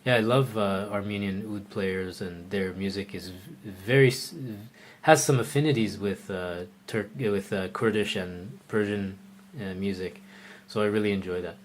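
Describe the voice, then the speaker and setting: A man with a fairly low voice gives a live oud lesson, chatting through viewers' questions. He thinks out loud, stopping to restart sentences and filling pauses with ums and uhs. A faint hiss sits under his voice. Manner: thinks aloud with frequent fillers and restarts; relaxed, conversational. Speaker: oud teacher.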